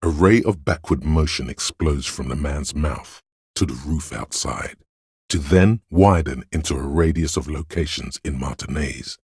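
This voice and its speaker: A man voicing a detective's analytical inner voice for a video game, in precise, measured, dryly observant narration with a gravelly, husky tone. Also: extremely low pitch, a little mellow, dry recording, studio quality, full character voice. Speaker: game voice actor.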